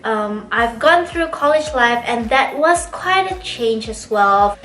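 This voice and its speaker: A woman in her twenties from Indonesia, talking casually and reflectively to camera in her bedroom, often breaking into soft laughs. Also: a little high, expressive, slow, reverberant, faint music behind, rough recording. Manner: chatty, reflective, often laughs softly mid-sentence. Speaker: vlogger.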